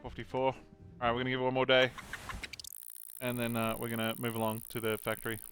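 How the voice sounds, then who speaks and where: A young man giving chatty let's-play commentary, thinking aloud with excitable bursts. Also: mid-range voice, quick pace, soft background music. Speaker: gaming channel host. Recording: noisy home recording.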